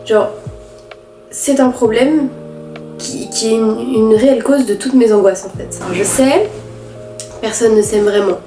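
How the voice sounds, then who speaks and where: A teenage girl with a head cold, talking nasally through a candid, halting confessional vlog. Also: fairly high pitch, reverberant, over music. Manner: halting, candid, many restarts and fillers. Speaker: vlogger.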